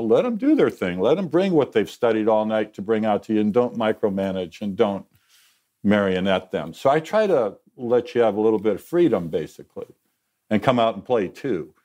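Older guy in his 60s rambling easygoing about work, lowish voice, restarts sentences a lot. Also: expressive, a little fast, mellow. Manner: rambling, self-correcting, easygoing. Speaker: guest, TV director. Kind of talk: candid conversational storytelling.

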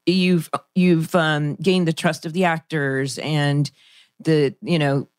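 A woman's voice: low-pitched, steady tone, takes it slowly, clear, resonant tone, no room sound, clean studio sound. A woman co-hosting a podcast, talking in a chatty, meandering way and asking long questions. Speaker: podcast co-host, actress. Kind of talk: casual podcast chat.

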